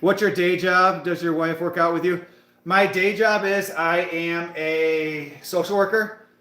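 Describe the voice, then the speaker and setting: A man's voice: high voice, fairly even intonation, measured pace, sharp tone. Chatty man in his thirties, echoey gym. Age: 30s. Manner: chatty, hyped, talks to viewers in the chat. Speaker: fitness livestream host. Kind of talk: casual live-stream chat while working out.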